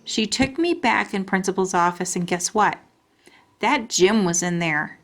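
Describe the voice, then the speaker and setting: A woman storyteller reading a children's book aloud in expressive narration. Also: mid-range voice, a little slow.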